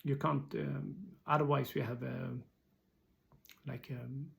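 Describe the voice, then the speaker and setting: Creaky-voiced Swedish man, halting delivery. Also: mid-range voice, flat delivery. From Sweden. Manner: halting, hesitant non-native phrasing. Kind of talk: informal explanatory talk.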